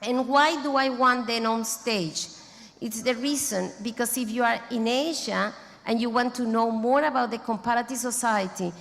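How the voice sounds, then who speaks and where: Slow, gravelly-voiced woman in a reverberant hall. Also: a little high, fairly bright tone. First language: not English. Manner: halting, restarts mid-phrase, lists thanks and names. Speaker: society president giving closing speech. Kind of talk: formal closing speech. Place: large conference hall.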